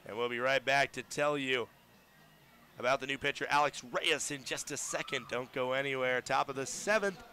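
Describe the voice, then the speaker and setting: Bright-voiced young play-by-play man. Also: mid-range voice, normal speed, slight background noise. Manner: excitable, shouts big plays. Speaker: play-by-play announcer. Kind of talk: radio baseball play-by-play. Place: ballpark broadcast booth.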